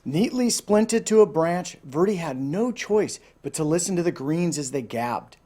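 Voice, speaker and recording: A man reading a children's story aloud in an expressive storytelling style, with casual asides to listeners. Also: on the high side, measured pace. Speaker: storybook reader.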